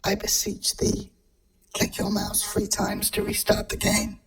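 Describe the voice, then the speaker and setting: A woman's voice: mid-range voice, monotone, a little slow, lightly acted, rough recording. A woman playing a mysterious, aloof mastermind in a radio play, with solemn, archaic pronouncements. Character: mysterious, aloof mastermind architect, the educated guest.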